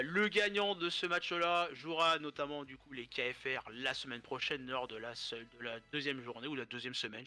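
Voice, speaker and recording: A man casting a live esports match, stuffy-nosed and hoarse from a cold, joking as he goes. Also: on the high side, sharp tone. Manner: joking, rambling casual commentary. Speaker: esports caster.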